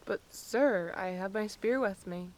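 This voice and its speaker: A woman's voice: mid-range voice, a little slow, close to an everyday voice. An actress playing a headstrong, defiant young schoolgirl in an audio drama, emotional and rebellious, with a Northern Irish accent. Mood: sheepish. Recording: loud noise behind the voice.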